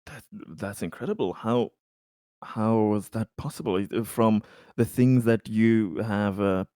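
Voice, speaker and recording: A man's voice: on the low side, unhurried, mellow, no echo, clean studio sound. A man improvising in character at a tabletop game, courteous and stammering over his words. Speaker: tabletop roleplaying player. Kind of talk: casual improvised in-character conversation.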